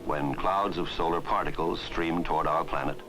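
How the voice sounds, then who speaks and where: A man reading scripted narration for a 1960s educational film in a measured, formal way. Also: very deep voice, steady tone, poor audio. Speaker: documentary narrator. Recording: 1960s film soundtrack, loud music. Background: loud background music.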